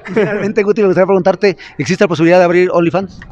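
A man's voice: high-pitched, steady tone, rapid-fire, a little mellow. A male reporter asking casual interview questions, with slightly muffled location sound. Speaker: entertainment reporter. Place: large venue entrance, red carpet.

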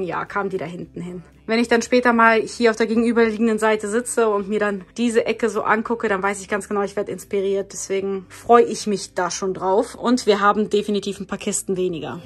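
A woman narrating a home vlog in a casual, chatty way, speaking directly and informally to her viewers. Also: medium pitch. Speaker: home and cleaning vlogger.

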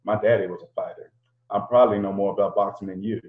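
A teasing young woman in a boxing gym, as a man reads her lines. The voice stays soft and a bit low, and it sounds muffled in a roomy space. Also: steady tone, fast, close to an everyday voice. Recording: roomy lo-fi home recording.

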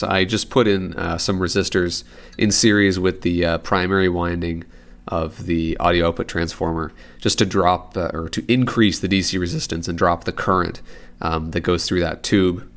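Deep, gravelly, informal man. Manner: informal, rambling, thinking aloud. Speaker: radio repair hobbyist and presenter. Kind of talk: casual unscripted repair demonstration. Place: indoors at a desk in an apartment living room.

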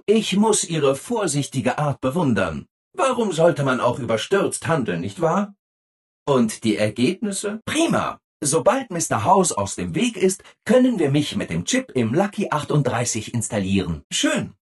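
Husky, relentlessly cheerful robot assistant. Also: male voice, mid-range voice. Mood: gushing, over-polite. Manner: chipper, over-polite, eager to agree. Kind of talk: video game character acting.

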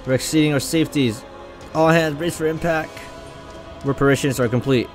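A man voicing a brisk, alert female starship officer with urgent, clipped delivery in a sci-fi game. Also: a little high, faint music behind, voice pushed up, natural voice. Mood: urgent alarm.

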